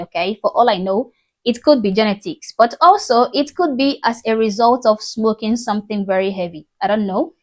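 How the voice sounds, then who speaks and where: A woman, an Igbo speaker, giving blunt, mocking reaction commentary. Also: fairly high pitch. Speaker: commentary host.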